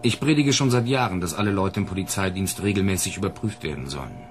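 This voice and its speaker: A man's voice: sits low, fast talker, a little hiss or hum. An actor playing a dutiful plainclothes policeman in a German radio drama, polite, cool and pointed. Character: dutiful, coolly suspicious male plainclothes policeman.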